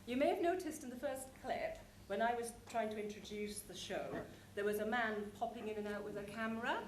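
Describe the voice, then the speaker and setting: A woman's voice: a little high, fairly quick. A woman giving an informal conference talk, chatty and anecdotal as she describes a show. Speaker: conference presenter. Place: conference hall. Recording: echoey room, clear background noise.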